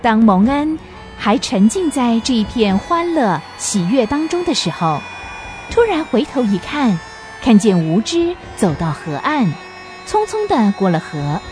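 A woman's voice: on the high side, very animated, a little slow, darkish tone, faint music behind. A woman narrating a radio drama as a storyteller, reading from a script.

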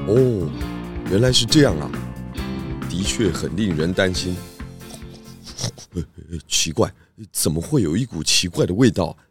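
Husky, low-voiced, reasonable detective. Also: male voice, lively intonation, measured pace, pauses often, soft background music, full character voice. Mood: polite, puzzled. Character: calm, reasonable male pig detective. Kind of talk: children's audio drama acting.

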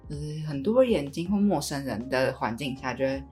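A woman's voice: very deep voice, animated, moderate pace, a little mellow, faint music behind. A young woman with a Taiwanese Mandarin accent chatting casually and informally as a podcast co-host.

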